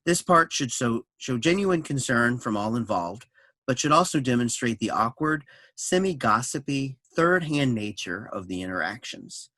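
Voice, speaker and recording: A man reading scripted introductory notes and stage directions as a narrator, in a measured, explanatory way. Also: medium pitch, expressive, bright, forceful tone, no room sound.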